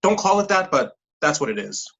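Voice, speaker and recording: A persuasive scheme recruiter played by an actor in a staged play reading. The voice sits at a middling pitch with a slightly husky edge, pressing insistently in clipped phrases while dodging plain words. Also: big pitch swings, fairly quick, a bit bright, muffled recording. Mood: reassuring, probing. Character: smooth-talking, pushy pyramid-scheme recruiter mentoring a junior coworker.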